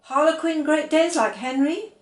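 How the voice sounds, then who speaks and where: A woman's voice: sits high, normal speed, roomy sound, pitched above the performer's own voice, lightly acted. A woman reading the part of an earnest, inquisitive eight-year-old girl in a children's storybook. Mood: eager, questioning.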